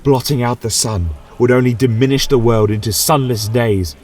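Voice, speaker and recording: A man's voice: medium pitch, steady tone, normal speed, a little mellow, voice pushed up, lightly acted. A man playing an earnest, principled mage mentor who speaks in theatrical, pleading oratory in an audio fantasy tale. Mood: grave pleading.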